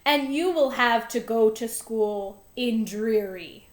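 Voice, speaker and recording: A woman's voice: a little high, takes it slowly, echoey room, voice pushed down. A woman voicing a fretful older male school principal in a children's storybook, announcing things with dramatic emphasis. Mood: tearful, grave. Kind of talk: children's storybook read-aloud.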